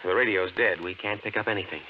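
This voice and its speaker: An actor playing a steady, reassuring husband, calm and explanatory, in a 1950s radio drama. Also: male voice, low-pitched, quick pace, close to an everyday voice. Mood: reassuring, somber. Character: steady, reassuring husband sheltering underground from catastrophe. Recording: muffled, noisy 1950s radio recording.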